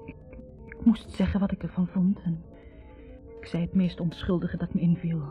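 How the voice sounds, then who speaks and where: An actress playing a wounded young woman in a 1960s radio drama, bitterly and haltingly confessing a painful past. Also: on the low side, level tone, faint music behind, natural voice. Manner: hesitant, halting, introspective. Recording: dull vintage radio recording.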